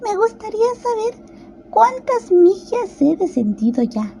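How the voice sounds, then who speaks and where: A curious little girl, played by a woman, thinking aloud in a storybook radio play. Her voice is very high and soft-edged, chatty and a little self-important, with some noise behind it. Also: very animated, unhurried, gravelly, full character voice. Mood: pondering. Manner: musing aloud, wondering.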